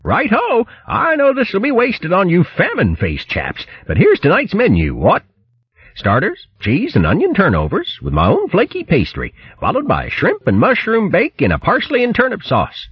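A man voicing a hearty, boastful male hare with a posh blustering manner and a very high voice. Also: huge pitch swings, moderate pace, breathy edge, no echo, muffled recording, full character voice. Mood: jolly. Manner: posh bluster, "chaps", "what?", "jolly", "bally".

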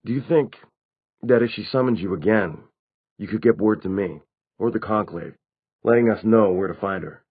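A man voicing a grave, measured adult werewolf pack leader in an audiobook story, speaking in a neutral, serious tone. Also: on the low side, husky, phone-quality sound, pitched above the performer's own voice.

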